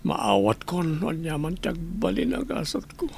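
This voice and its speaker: A man acting a gravely sick, remorseful husband in a radio drama, with a strained gravelly voice and an Ilocano accent. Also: on the high side, huge pitch swings, unhurried, a little mellow, a little hiss or hum, full character voice. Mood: anguished, remorseful.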